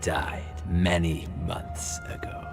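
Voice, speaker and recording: A dying enemy captive in a dramatic game scene. The voice is low, sardonic and halting, full of smug fatalism. Music plays clearly behind him. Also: male voice, flat delivery, full character voice. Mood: mocking, weary. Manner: sardonic, halting, theatrically self-assured. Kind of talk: dramatic video game acting.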